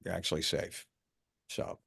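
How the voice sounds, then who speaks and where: A man reading the part of a blunt, fussy investigator in a film table read, halting and matter-of-fact. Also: fairly bright tone, natural voice. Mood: blunt, dry.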